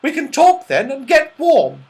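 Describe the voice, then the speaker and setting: A woman voicing an excitable, watchful young fairy-tale girl in a children's story, urgent and exclamatory. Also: high voice, unhurried, pitched above the performer's own voice, full character voice. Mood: welcoming.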